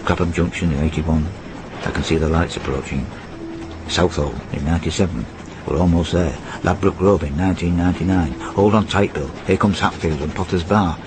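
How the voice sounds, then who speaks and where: A very low male voice of an actor as a veteran train driver in a radio play, weary, fond and fatalistic. He muses in measured phrases, as though to old friends, with engine rumble clearly behind him. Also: fairly even intonation, lightly acted. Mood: resigned, consoling. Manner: reflective, addressing absent friends, measured phrasing. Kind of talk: radio drama monologue.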